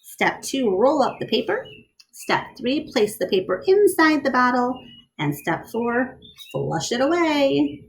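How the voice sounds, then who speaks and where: A woman reading a picture book aloud to children in an expressive style, pausing to question and explain things to her young listeners. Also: sits high, poor audio. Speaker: read-aloud storyteller.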